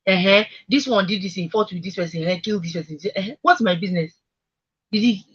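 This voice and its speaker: A woman with a Nigerian accent and a husky voice in a conversational radio discussion, emphatically repeating phrases to make her point. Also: medium pitch, fast talker, dull audio. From Africa. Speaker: radio talk show speaker.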